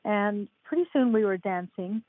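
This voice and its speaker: A woman's voice: medium pitch, animated, normal speed. An older woman, a guest author, chatting on a podcast with enthusiasm and frequent laughter. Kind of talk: casual podcast chat. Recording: tinny phone-like line, dry.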